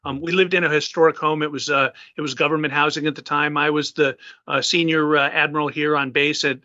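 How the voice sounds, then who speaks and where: A man's voice: fairly high pitch, little pitch movement, fairly quick, pushed and bright, dry recording, muffled recording. A man in his fifties, a retired naval officer, giving an interview and recounting events with many fillers and restarts. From United States. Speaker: guest.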